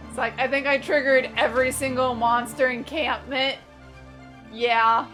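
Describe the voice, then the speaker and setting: A woman's voice: high-pitched, little pitch movement, unhurried, a bit bright. A woman hosting a gaming video, giving casual, joking, teasing commentary as she plays. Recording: faint game music behind.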